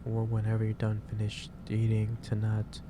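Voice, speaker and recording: A man doing a soft ASMR roleplay as a gentle, caring office boss, speaking hesitantly with pauses mid-sentence as he gives advice. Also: lowish voice, completely flat delivery. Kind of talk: soft ASMR roleplay, improvised monologue to the listener. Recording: clearly noisy background ambience.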